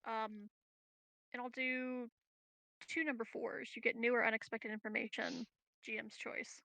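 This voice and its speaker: A woman's voice: fairly high pitch, level tone, unhurried, vocal fry, bright, forceful tone, no echo, rough recording. A woman playing a tabletop roleplaying game, chatting casually and matter-of-factly about her moves.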